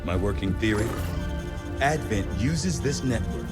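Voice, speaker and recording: A middle-aged scientist in a game cutscene, with a fairly low voice. His diction is academic and exact, his temper grave and formal. Loud music plays beneath him. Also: animated, a little slow. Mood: fascinated. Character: erudite, grave middle-aged male alien researcher. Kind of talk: scripted video game cutscene acting.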